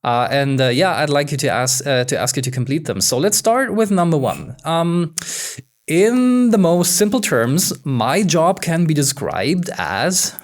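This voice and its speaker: A male podcast host with a slightly high voice, upbeat and chatty. He asks his questions with plenty of fillers and restarts. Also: lively intonation, a little slow. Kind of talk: podcast interview.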